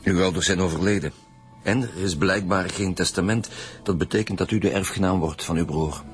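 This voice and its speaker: A man's voice: deep voice, a little fast, close to an everyday voice. An actor playing a dry, probing male police detective in a radio drama, speaking matter-of-factly. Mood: formal, probing. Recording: faint music behind.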